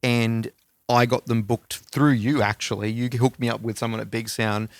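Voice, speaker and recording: Smooth-voiced Australian man, casual and slangy. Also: mid-range voice, fairly even intonation, normal speed, no room sound. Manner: rambling, slangy storytelling with swearing. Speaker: podcast co-host. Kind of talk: casual chat, music scene anecdotes.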